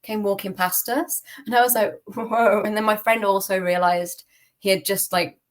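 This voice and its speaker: A British woman speaks as a podcast guest, recounting personal experiences in an informal, candid way. Her pitch sits in an ordinary middle range for a woman. The delivery is conversational, loosely phrased and sprinkled with casual filler words. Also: steady tone, normal speed. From United Kingdom. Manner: candid, conversational, peppered with "like" and "kind of". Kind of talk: informal personal storytelling in an interview.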